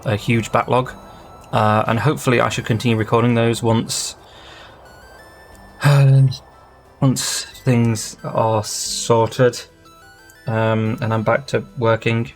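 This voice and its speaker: Man, middle pitch, loose chatty gameplay talk, faint music behind. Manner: rambling, thinking aloud, easily sidetracked. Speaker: gaming channel host. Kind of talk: casual gameplay commentary.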